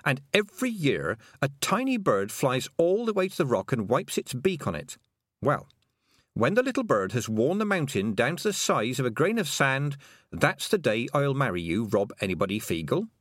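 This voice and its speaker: A man voicing a headstrong, quick-witted, bossy young girl in an audiobook. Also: medium pitch, sing-song, fairly bright tone, no room sound, clean studio sound, pitched above the performer's own voice. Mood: brisk, cheerful. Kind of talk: audiobook character acting.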